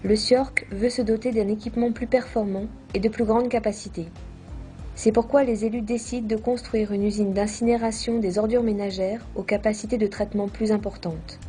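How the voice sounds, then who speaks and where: A woman delivers scripted documentary narration in a measured, formal way. Her timbre is dark and relaxed, at a middle pitch for a female voice. Faint music plays behind her.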